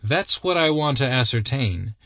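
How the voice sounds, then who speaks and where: A man voicing a confident, composed detective who speaks in a crisp, assured way. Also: lively intonation, fairly bright tone, no room sound. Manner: crisp, assured, quicker and higher than the narration. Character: brisk, confident male detective, sharp and composed. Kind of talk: audiobook character reading.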